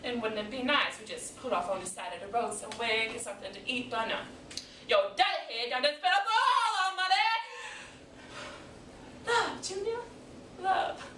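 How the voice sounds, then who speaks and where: A woman performing a comic stage monologue as an exasperated, hard-working wife and mother, scolding loudly and emphatically. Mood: furious scolding. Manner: loud, shrill, emphatic scolding with drawn-out words. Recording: echoey room, distant mic, background noise.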